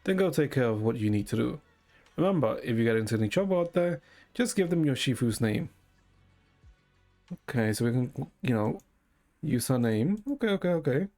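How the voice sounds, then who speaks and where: A man's voice: mid-range voice, lively intonation, brisk, long pauses, mellow. Guy streamer reading out game story lines, chatting along, midrange voice, faint music behind. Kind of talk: reading game dialogue aloud with casual commentary.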